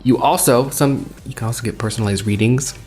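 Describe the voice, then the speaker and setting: A man's voice: medium pitch, a little fast, darkish tone. A man hosting a podcast in casual, unscripted chat, rambling and self-deprecating. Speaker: podcast host and reader.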